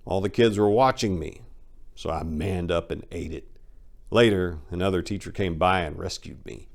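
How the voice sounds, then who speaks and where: A man's voice: deep voice, normal speed, gravelly. A man giving a dramatic first-person reading as a book narrator, telling stories in a brash, irreverent, slangy way.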